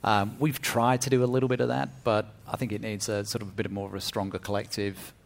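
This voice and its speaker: A man on a panel answers in a thoughtful, halting way. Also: lowish voice, flat delivery, creaky, a little hiss or hum.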